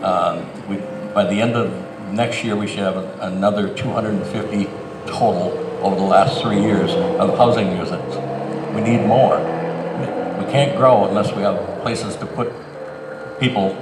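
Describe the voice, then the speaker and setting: A middle-aged man with a low voice. He answers as a council candidate in a plain, halting way. The sound echoes heavily over an outdoor PA, with clear ambient noise. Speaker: city council candidate and incumbent. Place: outdoors in a town park.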